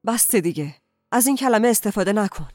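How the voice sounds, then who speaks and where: A woman's voice: fairly low pitch, a little mellow, no room sound, clean studio sound, faint music behind, close to an everyday voice. A woman voicing an agitated middle-aged husband in a novel, insistent and reproachful. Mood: agitated, scornful. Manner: insistent, accusing, pleading.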